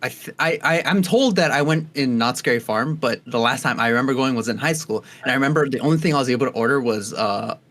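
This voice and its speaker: A young man in his twenties rambles through stories on a casual podcast, restarting his sentences and laughing easily. His voice sits at an ordinary pitch for a man. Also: fast, few pauses, a bit bright. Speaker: podcast co-host. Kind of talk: casual chat.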